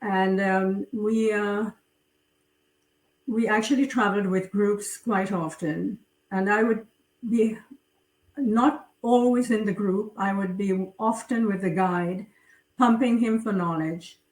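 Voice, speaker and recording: A middle-aged woman from Trinidad, an author talking about her writing in an interview, slowly, with many fillers and pauses. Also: medium pitch, steady tone, a little mellow. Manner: slow, hesitant, many fillers. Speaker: guest author. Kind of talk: interview conversation. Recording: roomy, distant from mic.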